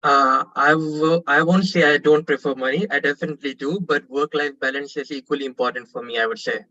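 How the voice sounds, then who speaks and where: A man's voice: fairly high pitch, steady tone, fairly quick, bright, forceful tone, muffled recording. A man in his twenties from Pakistan, asking an earnest, polite question on a webinar call with frequent fillers.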